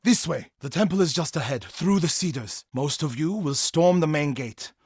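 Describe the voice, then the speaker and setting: Husky, solemn warrior monk. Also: male voice, on the high side, animated, normal speed, a little mellow, no room sound, clean studio sound. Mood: urgent, commanding. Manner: solemn, intense, formal. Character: grieving, vengeful warrior monk in feudal Japan, solemn man. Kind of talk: dramatic video game voice acting.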